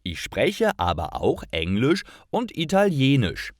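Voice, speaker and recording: A man's voice: mid-range voice, huge pitch swings, a little slow, no echo, clean studio sound, pitched above the performer's own voice. A man voicing an eager, upbeat young man from northern Germany.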